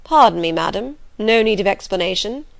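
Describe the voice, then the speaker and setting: A woman voicing a brusque, commanding gentleman of the manor who gives imperious, clipped orders. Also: high voice, animated, brisk, gravelly, dry recording. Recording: dry.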